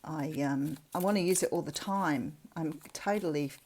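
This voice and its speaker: A woman's voice: low voice, moderate pace, a little mellow, slight background noise. An Australian woman giving a casual tarot reading in a chatty, reflective, conversational way. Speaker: tarot reader, channel host.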